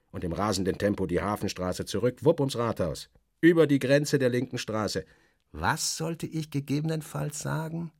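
A man reading a literary satire as a dramatic audiobook narrator, expressive and theatrical, acting out the dialogue. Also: fairly low pitch, no room sound, studio quality.

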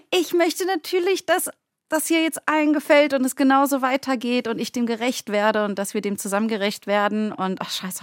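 A woman's voice: mid-range voice, no echo, clean studio sound. A woman co-hosting in casual chat, speaking in an effusive, informal way. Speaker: co-host and scriptwriter.

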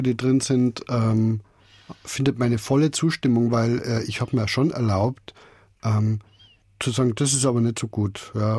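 A man's voice: mid-range voice, warm tone, slightly rough, no room sound. A man being interviewed as a radio drama director, speaking spontaneously and searching for words with frequent repetitions.

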